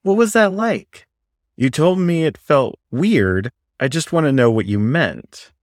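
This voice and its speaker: A man voicing a supportive young female panther college friend in a story, gentle, careful and concerned. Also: on the high side, animated, measured pace, dry recording, studio quality, voice pushed up. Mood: curious, gentle. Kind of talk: audiobook character dialogue.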